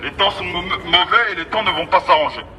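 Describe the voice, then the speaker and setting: High-pitched male street preacher on a megaphone. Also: a little fast, sharp tone. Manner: emphatic, repetitive, exhorting. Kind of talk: evangelistic street sermon. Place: outdoors in an urban street. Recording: distant, noisy outdoor megaphone with street traffic.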